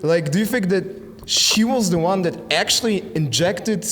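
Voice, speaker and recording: A man interviewing musicians in a parking lot, asking halting questions full of fillers. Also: high voice, expressive, a bit bright, big-room sound, slight background noise. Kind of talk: casual interview. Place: outdoor parking lot at night.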